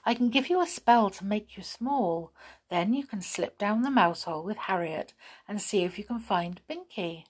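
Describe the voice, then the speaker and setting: A woman's voice: medium pitch, lively intonation, moderate pace, breathy edge. Woman voicing a kindly ancient elf lady. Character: kindly old female elf, three centuries old.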